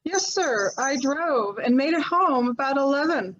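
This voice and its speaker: A woman playing a flustered high-voiced widow. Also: expressive, unhurried, fairly bright tone, dull audio. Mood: shaken. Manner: breathless, stammering, hesitant. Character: flustered middle-aged widow, woman, quick to defend herself. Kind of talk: 1940s radio acting.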